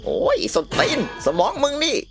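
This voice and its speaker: A woman's voice: moderate pace, faint music behind, close to an everyday voice. A woman voicing a cranky old village grandmother in a cartoon comedy, brash, blunt and scolding. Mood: scolding, cursing. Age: older.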